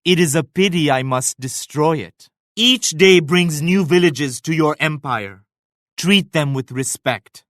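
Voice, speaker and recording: An actor playing a proud, pious Mughal emperor in a video game, making grave, measured and lofty pronouncements. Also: male voice, fairly high pitch, a bit bright, dry recording, studio quality. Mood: solemn menace. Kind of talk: video game character voice lines, formal declamation.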